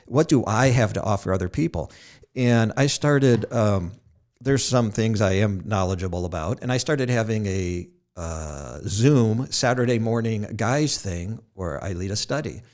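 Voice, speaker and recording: A man's voice: fairly low pitch, animated, measured pace, darkish tone. A man hosting a podcast, telling self-deprecating stories and laughing at his own jokes. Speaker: podcast host. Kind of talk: casual podcast conversation.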